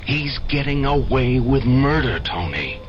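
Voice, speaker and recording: Troubled attorney, dramatic, swooping pitch. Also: male voice, big pitch swings, a little slow. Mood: alarmed, tense. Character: troubled attorney confronting a friend about murder. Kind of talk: 1970s radio drama acting. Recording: dull old radio recording, music behind.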